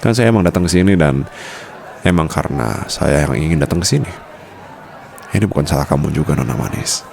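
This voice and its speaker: A man's voice: very deep voice, expressive, brisk, creaky, long pauses, a little mellow, heavily acted. A man playing a suave, protective mafia boss boyfriend in an intimate, teasing ASMR roleplay. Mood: calm, protective.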